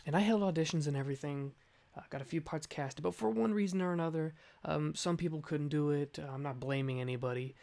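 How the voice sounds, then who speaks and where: A young man speaks off the cuff to his channel's viewers. His voice has a creaky edge, and his delivery is hesitant and rambling, with an apologetic tone. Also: fairly high pitch, fast talker, darkish tone. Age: young adult. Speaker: channel host and fandub director. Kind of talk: casual unscripted channel update.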